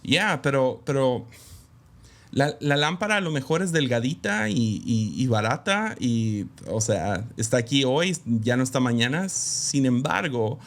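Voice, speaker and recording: A man's voice: medium pitch, lively intonation, measured pace. A man speaking as a guest in an interview, reflective and candid, with many hesitant fillers. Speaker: guest, pastor's son and preacher. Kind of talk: conversational interview.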